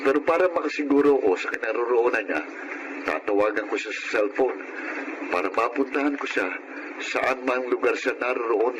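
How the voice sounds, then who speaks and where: A man's voice: mid-range voice, brisk, dull audio, heavy background noise, lightly acted. A man playing a caring, sensible young family friend in a Filipino melodrama, earnest in his delivery. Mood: protective.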